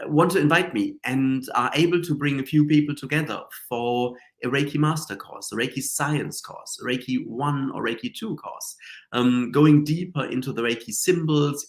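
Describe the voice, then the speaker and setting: A man with a cold and a slightly nasal voice, hosting a live stream in a chatty, rambling way with many fillers and restarts. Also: medium pitch. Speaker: Reiki teacher and show host. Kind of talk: casual live-stream talk.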